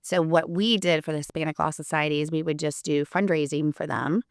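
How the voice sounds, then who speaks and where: A woman in her forties from Puerto Rico, an attorney talking as a guest in an earnest, casual interview, with many pauses and restarts. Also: deep voice, fairly even intonation, dry recording, clean studio sound.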